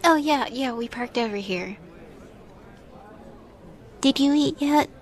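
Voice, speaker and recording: A woman playing a shy, sweet young catgirl roommate in a girlfriend roleplay, soft-spoken and hesitant, often slipping into a whisper. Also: high voice, slow pace, a faint crowd. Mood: friendly, casual. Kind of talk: girlfriend roleplay audio acting.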